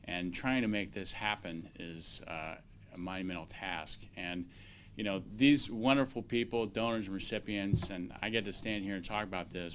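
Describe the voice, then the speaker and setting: A man speaking as a transplant doctor at a press conference, measured and peppered with filler sounds. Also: low-pitched, a bit bright, a little hiss or hum.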